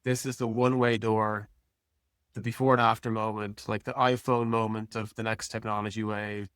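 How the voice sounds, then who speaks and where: Low-pitched man, dry close sound. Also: little pitch movement, normal speed, fairly bright tone, smooth, dry recording. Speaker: interview guest, software company executive. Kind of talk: casual conversational interview answers.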